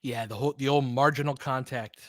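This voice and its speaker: A man doing live basketball play-by-play as an excitable, partisan fan, chatting casually with his viewers. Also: mid-range voice, brisk, dry recording, clean studio sound. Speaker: fan play-by-play commentator, streamer.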